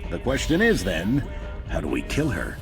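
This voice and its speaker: An actor playing a pompous, sensationalist TV news anchor in a video game newscast, theatrical in delivery. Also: male voice, on the low side, huge pitch swings, moderate pace, creaky, over music, full character voice. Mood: pompous, dramatic.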